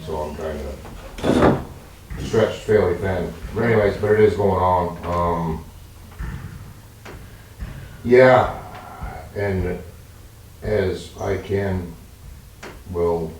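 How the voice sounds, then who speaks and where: A man who works on the town roads, talking plainly and haltingly at a town meeting. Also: deep voice, background noise. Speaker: town road worker. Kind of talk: casual meeting talk. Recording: echoey room, far from the mic.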